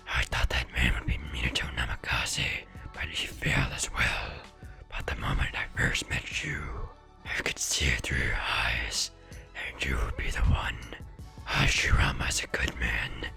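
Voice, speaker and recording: A man voicing an ancient, proud fox spirit in a breathy whisper, speaking solemnly and slowly over music. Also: extremely low pitch, pushed and bright, voice pushed down, exaggerated voice. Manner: slow, solemn speechifying.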